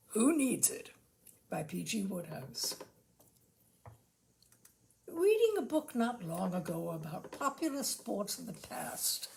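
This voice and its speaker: A woman hosting a story reading, speaking and reading aloud in an expressive, deliberately phrased way. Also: a little high, gravelly. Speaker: host and reader of a short-story reading show. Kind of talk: live literary reading aloud with informal introductions.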